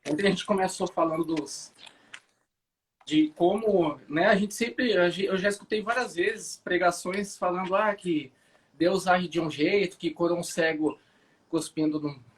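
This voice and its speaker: A man, hosting a morning Bible live stream, relaxed and chatty, often restarting sentences. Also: sits high, a little slow, slight background noise. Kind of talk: casual chat, prayer and Bible commentary. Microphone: phone or webcam for a live stream.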